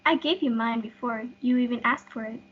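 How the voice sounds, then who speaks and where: A woman's voice: a little high, fairly quick, slightly rough. A young woman reading a lovestruck, eager girl in amateur reader's theatre. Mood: tender. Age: teenager. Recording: dull lo-fi audio.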